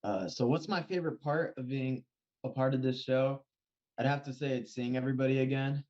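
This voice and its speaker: A young man in his early twenties, a cast member introducing himself over a video call in an easygoing, unhurried way. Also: medium pitch, a little mellow. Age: young adult. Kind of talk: casual self-introduction. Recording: dull lo-fi video call audio.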